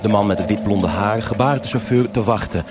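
An actor narrating a noir crime drama as a sober storyteller, in terse, measured, deliberate phrases. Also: male voice, lowish voice, lightly acted. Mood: suspenseful. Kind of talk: scripted present-tense noir narration.

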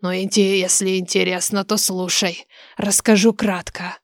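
A woman's voice: medium pitch, level tone, measured pace, no room sound, clean studio sound, lightly acted. A woman voicing a grumpy, blunt old male oracle in a fantasy audiobook, grumbling in colloquial speech. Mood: grudgingly willing. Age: older. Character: grumpy ancient male oracle, telepathic voice from stone egg.

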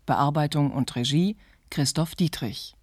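An announcer reads scripted credits for a radio drama. The voice is medium in pitch and recorded very dry, with no room around it. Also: measured pace, darkish tone, dry recording.

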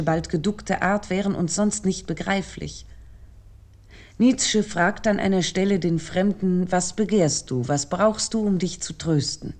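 A woman narrating a scripted literary lecture in a measured, analytical manner. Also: on the low side, darkish tone.

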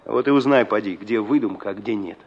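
A man's voice: on the low side, a little fast, close to an everyday voice. Muffled radio-play village man played by an actor. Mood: wistful. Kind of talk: Soviet radio drama acting. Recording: muffled old radio drama recording.

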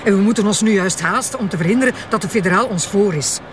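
An actress playing a brisk, authoritative female magistrate in a radio drama, with a Flemish accent. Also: mid-range voice, background noise, natural voice. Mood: impatient.

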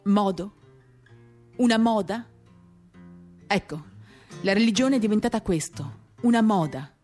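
A woman giving a measured, intimate dramatic reading of first-person literary narration in short, paused phrases, accompanied by acoustic guitar. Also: mid-range voice, lively intonation, darkish tone. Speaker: reader of a novel.

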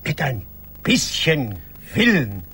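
A man's voice: medium pitch, wildly swooping pitch, slow, sharp tone, slightly rough, exaggerated voice. An actor in a German radio play playing a pedantic, self-righteous bureaucrat who scolds his friend in a pompous, emphatic way. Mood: pompous, lecturing.

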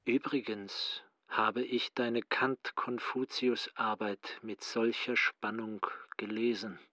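An actor playing a learned, articulate brother in a radio drama, with a formal and deliberate manner. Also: male voice, lowish voice, little pitch movement, pushed and bright, dry recording. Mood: measured. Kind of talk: German radio drama acting.

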